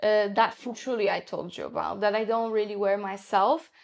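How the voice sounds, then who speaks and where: A woman's voice: mid-range voice, steady tone, moderate pace, creaky. A young woman whose first language is Polish, chatting enthusiastically in a casual perfume review, with frequent fillers.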